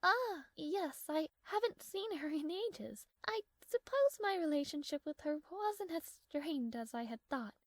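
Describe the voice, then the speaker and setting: A woman's voice: high-pitched, expressive, unhurried, few pauses, no room sound. A woman playing a proud, strong-willed young princess in a romantic audio roleplay, speaking formally with flustered hesitations. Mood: composed, matter-of-fact. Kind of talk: scripted romantic audio roleplay addressed to the listener.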